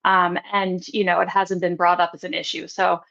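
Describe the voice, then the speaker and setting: A woman hosting a local politics podcast, interviewing a candidate in a measured way, hedging with fillers. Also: fairly low pitch, little pitch movement, gravelly, a bit bright, highs cut off. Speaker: podcast host.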